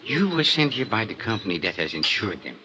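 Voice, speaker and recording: An oily French smuggler, animated. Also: male voice, lowish voice, a little fast, muffled recording, background noise. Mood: wily bargaining. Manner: smooth, mock-courteous, evasive. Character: oily, evasive French-speaking Casbah smuggler and killer. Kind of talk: 1950s radio drama acting.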